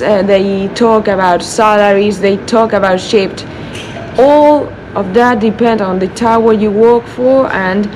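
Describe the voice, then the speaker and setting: A woman with a Spanish accent answering interview questions, haltingly searching for her words in English. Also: mid-range voice, noisy background. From Spain. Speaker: air traffic controller being interviewed. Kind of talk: unscripted interview.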